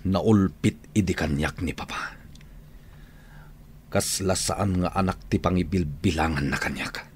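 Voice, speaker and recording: A man's voice: sits low, a little slow, pauses often. A man playing a resentful, suspicious grown son of a crime boss in an Ilocano radio drama, brooding and dramatic. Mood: bitter. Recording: noisy AM radio drama recording.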